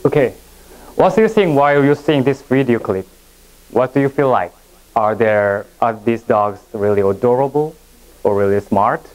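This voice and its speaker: A man from Korea with a Korean accent, a non-native English speaker giving a classroom presentation in a halting way, often restarting his sentences. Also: mid-range voice, a little mellow, poor audio. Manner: halting, with frequent restarts and second-language phrasing.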